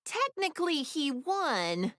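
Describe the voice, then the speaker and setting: Brainy, candid anime inventor; wry, expressive, slightly high, very dry studio sound. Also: female voice, slow, dry recording, exaggerated voice. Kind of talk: animated game character dialogue.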